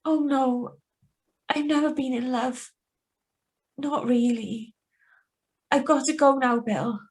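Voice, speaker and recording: A woman as an anguished high-voiced young heroine. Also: fairly even intonation, slow pace. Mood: torn, pleading. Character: anguished, guilt-ridden young woman hiding a secret. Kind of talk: live radio drama reading with first-person narration.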